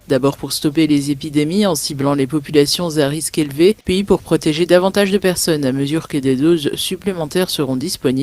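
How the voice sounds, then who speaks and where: A man's voice: fairly high pitch, a little mellow. A man reading a scripted voice-over for news reports.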